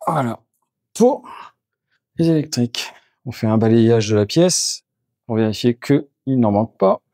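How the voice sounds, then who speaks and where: A man at a middle pitch walks room to room through a house, calmly explaining each test as he carries it out. The sound is dry and close, with hardly any reverb. His delivery is casual and explanatory. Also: a little slow. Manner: step-by-step, explanatory, talks while working. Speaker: geobiologist doing an energy clearing. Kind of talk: on-the-spot demonstration with explanation. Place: indoors in a house, moving between rooms.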